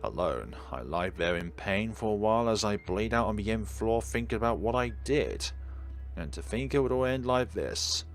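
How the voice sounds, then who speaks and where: A man's voice: fairly low pitch, expressive, moderate pace. A man doing let's play commentary, reading game narration aloud theatrically with wry asides. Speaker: game streamer. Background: game music.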